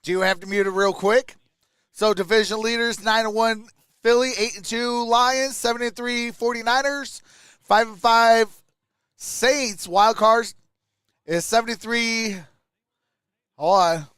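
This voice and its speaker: A man with a very high, bright voice hosts a live sports fan stream. He calls the plays in short, clipped phrases and chats with his viewers. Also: steady tone, measured pace, pauses often, clear, resonant tone, no echo. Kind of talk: live fan play-by-play commentary with chat.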